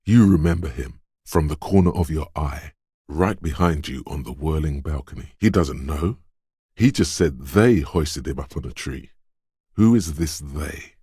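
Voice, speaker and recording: Detective's inner instinct, video game narration, rumbling low male voice, measured, knowing, quick-witted, dramatic, dry studio sound. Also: dark tone, breathy edge, no echo, exaggerated voice. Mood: observant, knowing. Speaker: voice actor. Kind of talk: second-person game narration.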